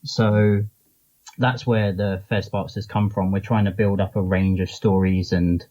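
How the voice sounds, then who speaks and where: A man's voice: low voice, little pitch movement, a little slow, warm tone, muffled recording. A man being interviewed as a guest, talking casually and informally in loose, rambling explanations. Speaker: interview guest, comics charity founder and publisher.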